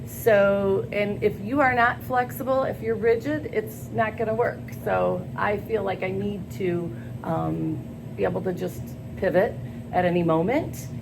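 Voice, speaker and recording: An older woman answering job interview questions in an earnest, halting way, with many pauses and fillers. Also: mid-range voice, steady tone, creaky. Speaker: school staff job candidate. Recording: noisy background.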